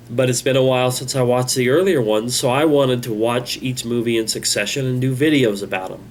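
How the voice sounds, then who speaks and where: A man hosting his own channel, talking in a halting, rambling, self-critical way, with a congested voice. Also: medium pitch, a little hiss or hum. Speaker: channel host. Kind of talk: casual vlog monologue.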